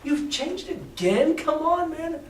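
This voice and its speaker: An exasperated young boy reproaches someone in a high, slow, incredulous voice, as a man reading aloud does him. The room is echoey and the voice is heard from a distance. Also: sing-song, slight background noise, full character voice.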